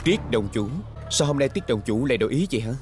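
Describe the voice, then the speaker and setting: A man's voice: medium pitch, expressive, fast talker, over music, lightly acted. A man dubbing a scheming young nobleman in an ancient court drama, with a formal, courtly, insinuating manner. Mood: deferential, polite. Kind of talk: Vietnamese dubbing of costume drama.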